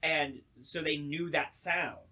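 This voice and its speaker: A man's voice: on the high side, little pitch movement, slow pace, pushed and bright. A young man co-hosting a podcast, telling stories casually and informally and acting out reactions as he goes. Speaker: podcast co-host. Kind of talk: casual storytelling chat.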